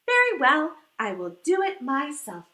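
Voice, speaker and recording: A woman voicing an industrious, resolute little mother hen from a storybook, with singsong, drawn-out phrases. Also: high-pitched, huge pitch swings, pushed and bright, exaggerated voice. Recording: echoey room.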